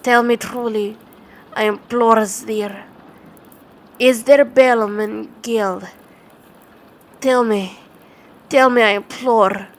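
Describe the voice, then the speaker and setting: Woman acting an anguished grieving man, halting and slow. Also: a little high, steady tone. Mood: desperate, imploring. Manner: halting, stumbling over words. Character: anguished, grief-stricken man crying out at a raven. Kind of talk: dramatic gothic poetry recitation.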